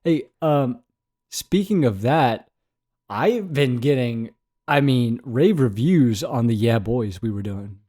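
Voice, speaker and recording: Deadpan, mock-boastful young man. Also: medium pitch, slow pace. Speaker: podcast co-host. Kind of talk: casual chat.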